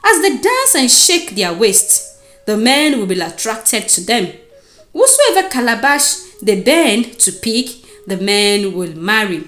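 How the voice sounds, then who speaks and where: A woman's voice: a little high, big pitch swings, unhurried, fairly bright tone, reverberant, faint music behind. A woman voicing a solemn male chief priest who declaims ceremonially in a folktale.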